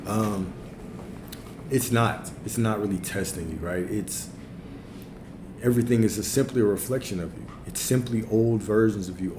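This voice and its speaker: A man's voice: on the low side, mellow, reverberant, slight background noise. A man speaking as a spiritual coach on a livestream, answering viewer questions in a reflective way, his voice a little worn from talking all day. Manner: frequent tag questions. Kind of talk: livestream Q&A talk.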